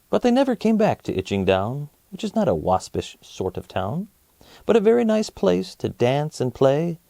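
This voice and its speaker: Very animated mellow male children's storyteller. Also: medium pitch, vocal fry, dry recording. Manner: expressive, rhythmic verse reading. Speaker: host of children's story channel.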